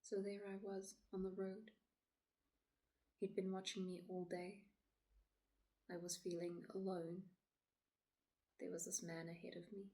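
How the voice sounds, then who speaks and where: An actress plays a shaken city woman giving her account to the police in a stage monologue. Her lowish voice is halting and often hushed to a breathy near-whisper, pleading to be believed. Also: little pitch movement, low-quality recording. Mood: haunted, fearful.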